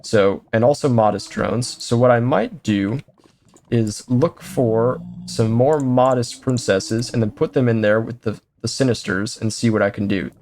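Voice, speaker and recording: A man's voice: mid-range voice, a little slow, slight background noise. Chatty man narrating his gameplay. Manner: chatty, talks to himself and to the game, jokey asides; sudden excited exclamations. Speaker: let's play host. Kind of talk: casual gameplay commentary.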